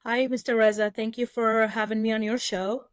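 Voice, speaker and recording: A woman in her forties from Iraq, a lawyer telling her life story as a podcast guest in an earnest, measured way with frequent pauses. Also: on the high side, flat delivery, dry recording, clean studio sound. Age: 40s. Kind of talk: interview storytelling.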